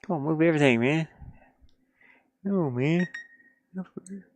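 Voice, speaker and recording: A tired man thinking aloud casually as he works on a game, muttering to himself and grumbling at the software into a headset mic. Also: fairly high pitch, normal speed. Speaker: indie game developer working on camera. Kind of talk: casual thinking-aloud screen-work stream.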